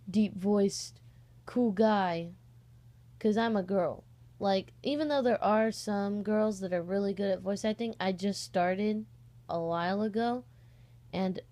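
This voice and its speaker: A woman's voice: medium pitch, a little mellow. A young woman chatting informally in a scattered, rambling vlog style, easily sidetracked. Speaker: amateur voice actor and video host. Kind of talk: casual rambling vlog chat. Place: on a bed at home, indoors.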